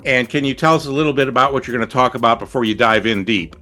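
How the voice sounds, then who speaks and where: A man's voice: medium pitch, quick pace, sharp tone, soft background music. A man hosting a podcast, asking eager, earnest questions of his guest. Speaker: podcast host. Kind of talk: conversational podcast interview.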